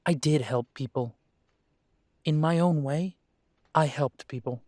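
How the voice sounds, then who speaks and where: A man voicing a young adult male werewolf, an estranged son who is defensive and firm, then quiet and conciliatory. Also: a little high, a little slow, no echo, clean studio sound, lightly acted. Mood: defiant. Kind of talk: audio drama acting.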